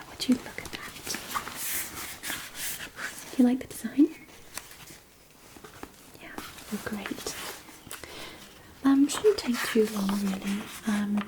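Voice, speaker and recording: A woman playing a kindly, chatty fairy craftswoman in a fantasy ASMR roleplay, softly whispering in a nurturing, slightly hesitant way. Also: mid-range voice, fairly even intonation, slow pace, pauses often, noisy background, lightly acted. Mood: chatty, gentle.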